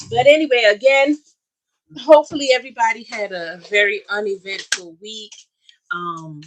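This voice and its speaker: A woman's voice: mid-range voice, steady tone, normal speed, low-quality recording. A chatty woman co-hosting a casual livestream, greeting viewers by name, recorded on a camera-mounted mic in a home toy museum room.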